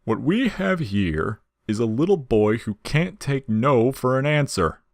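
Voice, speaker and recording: A man's voice: medium pitch, lively intonation, a little slow, gravelly, no room sound, clean studio sound, voice pushed up. A man voicing a wisecracking skeleton monster with a breezy, folksy, mock-casual manner and a menacing edge in an audio fiction. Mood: defiant, wry.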